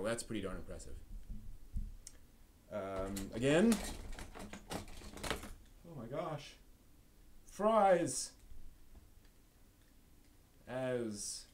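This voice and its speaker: A man's voice: medium pitch, animated, drawn-out words, pauses often. Rambling man on a noisy live stream. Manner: rambling, thinking aloud while handling objects. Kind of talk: casual live show-and-tell of toys.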